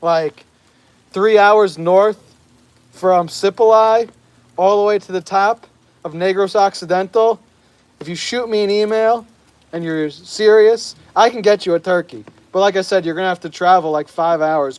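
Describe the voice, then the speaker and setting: American guy on a live stream, kinda high voice, rambling and laughing, rain pattering outside. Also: smooth, no echo. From United States. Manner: chatty, laughs often, stumbles over words. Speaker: vlogger reading live chat. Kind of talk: casual live stream chat. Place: outdoors in the rain. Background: rain falling.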